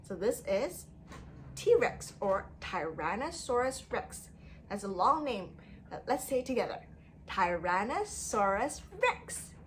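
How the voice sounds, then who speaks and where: A woman's voice: mid-range voice, very animated, slow, slight background noise. A woman leading an interactive storytime lesson for young children, speaking in an exaggerated sing-song way with prompting questions and repetition.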